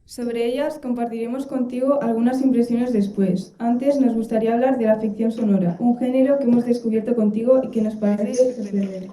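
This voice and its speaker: A woman's voice: fairly high pitch, fairly even intonation, a little fast, hardly any pauses, warm tone. A teenage girl from reading a scripted interview introduction as a student interviewer. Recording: roomy, distant from the mic.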